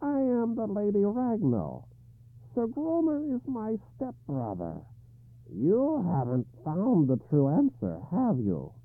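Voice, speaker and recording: A harsh, croaking hag, cool and certain, drawing her words out slowly, as a man acts her. Her voice is husky, and the sound comes through thin and phone-like. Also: high voice, wildly swooping pitch, no room sound, voice pushed up, full character voice. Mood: cold. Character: grotesque old hag, harsh croaking woman.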